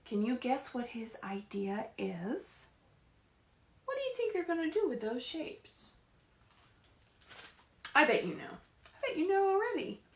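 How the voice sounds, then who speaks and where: A woman reading and chatting to young children as a story time leader, animated and often prompting them with questions. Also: high-pitched, measured pace, long pauses, roomy sound. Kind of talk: read-aloud picture book storytelling for young children. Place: indoors at home.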